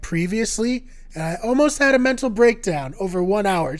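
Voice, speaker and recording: A man co-hosting a casual video game podcast, his voice going hoarse, talking in an upbeat, jokey way with rambling anecdotes. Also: high voice, a little mellow. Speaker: podcast co-host. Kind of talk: casual chat.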